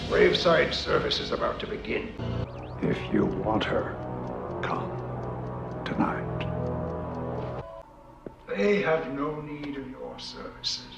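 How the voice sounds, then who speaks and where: An actor playing a sinister old undertaker spirit, taunting and menacing in a gravelly, theatrical drawl. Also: male voice, mid-range voice, animated, slow, pauses often, exaggerated voice. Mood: ominous, taunting. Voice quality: gravelly, creaky. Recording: muffled audio under loud film music.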